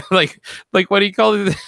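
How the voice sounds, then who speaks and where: Casual male podcast host, bright voice, dry close sound, sweary animated raconteur. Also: high voice, creaky, dry recording. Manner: profane, slangy, animated storytelling. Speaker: podcast host and cast member. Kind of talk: casual post-show chat.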